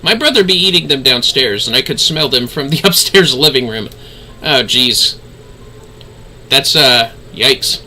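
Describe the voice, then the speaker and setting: A man's voice: medium pitch, animated, unhurried, bright, forceful tone, a little hiss or hum, rough recording. A man hosting a gaming livestream with casual, easygoing, chatty talk to his viewers. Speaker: livestreaming gamer host.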